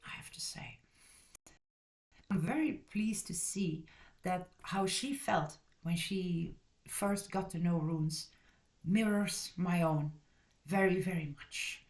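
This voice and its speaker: Host, female, from Belgium, lowish voice, casual review delivery, rambling and self-correcting, blunt. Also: a little mellow. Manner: blunt and direct. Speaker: channel host reviewing a book.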